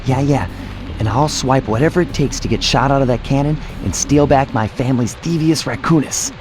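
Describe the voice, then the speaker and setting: A man's voice: mid-range voice, brisk, music in the background, close to an everyday voice. A man voicing a cocky, easygoing young raccoon thief in a cartoon video game, breezy and wisecracking. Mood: cocky, eager. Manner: breezy, wisecracking, self-assured.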